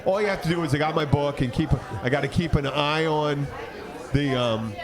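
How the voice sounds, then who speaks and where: Higher-voiced man over loud crowd chatter. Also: normal speed. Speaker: podcast host. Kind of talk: casual bar-side chat. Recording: loud crowd noise, outdoor urban setting. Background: loud crowd and outdoor bustle.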